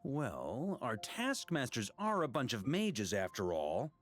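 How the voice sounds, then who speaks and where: An actor playing an easygoing, boastful veteran knight commander in a video game, telling tales with theatrical self-assurance. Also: male voice, mid-range voice, wildly swooping pitch, slow pace. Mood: jovial, persuasive. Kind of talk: English video game voice acting. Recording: game music behind the voice. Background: game music.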